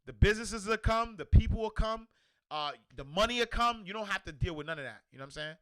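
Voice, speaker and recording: A man with a New York accent hosting a livestream, loudly and energetically answering viewer comments in casual chat. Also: high voice, fast, smooth. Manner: loud and energetic, reads comments aloud. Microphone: desk microphone.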